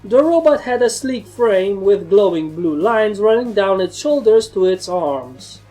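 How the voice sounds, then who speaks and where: Mellow woman narrating a story over music. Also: mid-range voice, expressive, unhurried, low-quality recording. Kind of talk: scripted first-person story narration.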